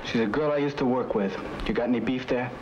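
A man's voice: mid-range voice, fast, close to an everyday voice. An actor playing a hot-headed, defiant young American man, curt and cocky, in a 1950s TV crime drama. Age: young adult. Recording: muffled, hissy old TV film sound.

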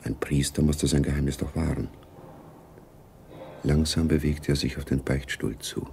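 A man narrating a spy radio drama gravely, with a deep, gravelly voice. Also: steady tone, moderate pace, darkish tone, slight background noise.

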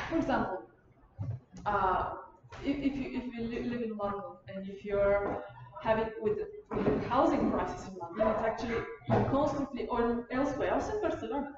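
A young woman from Bulgaria giving an informal lecture in a halting, rephrasing, digressive way. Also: on the high side. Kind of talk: informal lecture and discussion of questions. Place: university lecture room. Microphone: far camera. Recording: distant mic, muffled, echoey room, loud noise.

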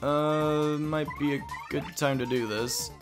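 A man's voice: a little high, steady tone, slow, music in the background. A young man casually commenting as he plays a game, rambling and self-mocking. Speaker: let's play host.

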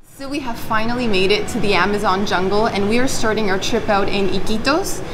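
Woman, food vlog host, casual talk, playful, medium pitch, background music. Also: a little fast.

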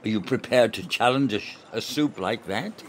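A man plays a proud, argumentative general in a radio play. He is pedantic and emphatic, with a very mobile pitch. The recording carries a little background noise. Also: expressive, moderate pace, lightly acted. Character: stubborn, proud military general, formal and argumentative. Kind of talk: amateur radio play acting.

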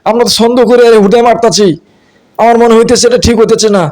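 Guy doing a rough young cartoon student sidekick, super high fast talk, dhaka street accent, blunt. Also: steady tone, darkish tone. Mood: doubtful, protesting. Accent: Dhaka colloquial Bangladeshi. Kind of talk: comedy cartoon voice acting.

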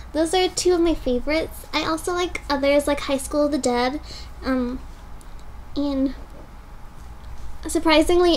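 Chatty high-voiced young woman on webcam. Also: a little hiss or hum. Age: young adult. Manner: chatty, giggly, filler-heavy. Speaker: fan dub voice actor. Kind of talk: casual webcam interview answer. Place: bedroom with bed behind.

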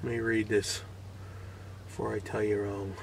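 A man's voice: lowish voice, level tone, normal speed, gravelly, noisy background. A man in his forties giving a casual hands-on install tutorial, recorded on a camera mic inside a parked car. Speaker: DIY car vlogger. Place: inside a parked car outdoors, door open.

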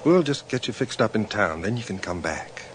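A man's voice: fairly low pitch, animated, normal speed, lightly acted. An actor playing a folksy, matter-of-fact rescue man with plain, colloquial speech in a radio horror drama. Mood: reassuring. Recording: very noisy old radio drama recording.

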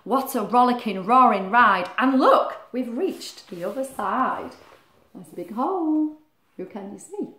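A middle-aged woman reads a children's picture book in a fairly high, highly animated voice. She takes her time and breaks off for chatty questions to her young listeners. The room adds a clear echo. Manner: expressive, slow and deliberate in reading, questioning the children. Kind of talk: animated children's story read-aloud with chatty asides. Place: small living room, indoors. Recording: roomy echo of a living room.

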